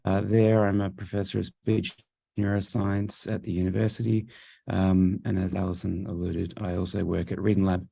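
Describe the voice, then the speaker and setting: A man's voice: low-pitched, gravelly, warm tone, dry recording. A man with an Australian accent, a professor giving a virtual conference talk with many fillers and restarts, speaking through Bluetooth headphones. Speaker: speech neuroscience professor presenting.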